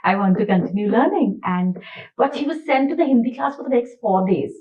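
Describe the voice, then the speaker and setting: A woman hosts a relaxed panel chat with an expressive, conversational delivery. She sounds a little away from the microphone, and the recording is slightly muffled. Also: a little high, brisk. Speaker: host. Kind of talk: casual panel discussion, storytelling. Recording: distant, slightly dull microphone.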